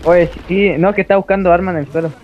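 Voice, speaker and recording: Young Chilean male gamer, high voice, rapid casual chat, faint game noise behind. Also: little pitch movement, muffled recording. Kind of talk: casual chat during online gaming.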